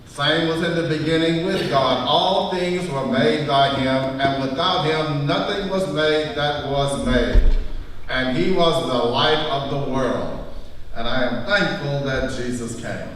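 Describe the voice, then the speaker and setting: A man playing John the apostle in a live church drama, calmly and solemnly recalling Jesus in a measured, scripted storytelling style. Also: mid-range voice, slight background noise. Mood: reverent. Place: large church, live performance. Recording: live church hall, very echoey, distant, music behind.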